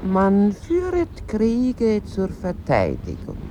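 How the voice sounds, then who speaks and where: A woman's voice: mid-range voice, wildly swooping pitch, takes it slowly, dark tone, a little hiss or hum. An actress playing a solemn woman reciting old maxims in a radio play.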